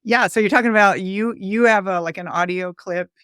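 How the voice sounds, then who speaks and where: A woman in casual tech chat, informal and a little halting as she thinks aloud. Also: mid-range voice, fairly bright tone, no room sound, clean studio sound. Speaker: educator on a developer livestream.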